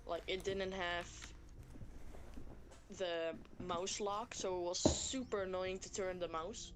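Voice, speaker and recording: A woman's voice: fairly low pitch, fairly even intonation, slow. A woman game developer chatting casually, in very informal speech peppered with filler words like "like". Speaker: game developer, guest collaborator. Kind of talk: casual chat. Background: music behind the voice.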